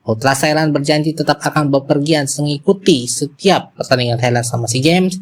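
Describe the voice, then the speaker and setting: A man with an Indonesian accent reading scripted news as a presenter, moving through formal bulletin copy. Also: a little high.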